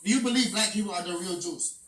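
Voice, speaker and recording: High-voiced man, loud street interviewer on a city sidewalk; roomy, distant, noisy capture. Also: fast. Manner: loud, repetitive, playful provoking. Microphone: handheld mic.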